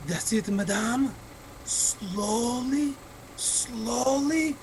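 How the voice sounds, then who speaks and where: A woman playing a theatrical, commanding film director in a 1940s radio drama, coaxing with drawn-out instructions. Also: fairly high pitch, vocal fry, noisy background, exaggerated voice. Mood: businesslike.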